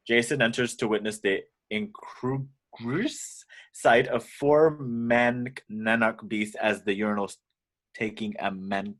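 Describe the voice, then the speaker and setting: A man's voice: on the low side, fairly bright tone. A man narrating screenplay action lines as scripted narration in an amateur table read. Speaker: narrator reading stage directions.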